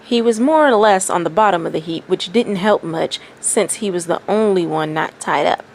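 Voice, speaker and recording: A woman's voice: lowish voice, normal speed, rough recording. A woman doing casual story-time talk and reading fan fiction aloud as a narrator. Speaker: fan fiction reader and commentator. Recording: faint background noise.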